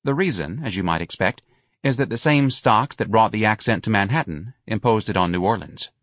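Low-voiced man reading an audiobook. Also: fairly quick, fairly bright tone, dry recording, studio quality. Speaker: audiobook narrator. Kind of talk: literary audiobook narration, reading aloud.

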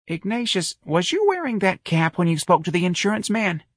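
Man voicing a fussing, husky working-class mother. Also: sits high, sing-song, a little fast, no room sound, clean studio sound, pitched above the performer's own voice, full character voice. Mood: coaxing, concerned. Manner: folksy ungrammatical dialect speech. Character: middle-aged working-class mother, fussing, doting, plaintive. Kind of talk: audiobook character voice.

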